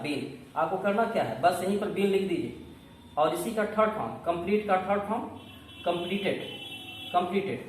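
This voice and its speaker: A man giving a classroom grammar lesson, explaining step by step and answering his own questions. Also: high voice. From India. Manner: instructive, asks questions and answers them himself. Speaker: English grammar coaching teacher. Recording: echoey room, distant mic, faint noise.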